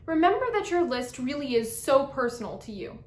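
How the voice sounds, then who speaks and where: A young woman from Brazil with a high, bright voice, upbeat and a little playful as she gives advice from a script. The room around her rings with a noticeable echo. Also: sing-song, a little fast. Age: young adult. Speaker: vlogger.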